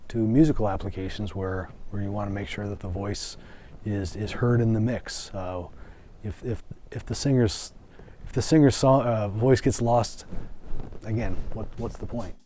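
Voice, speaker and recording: A man with a fairly low voice talks like a company executive in an interview. He explains things with some hesitation and repeated words. Faint noise sits behind his voice. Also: moderate pace, dark tone.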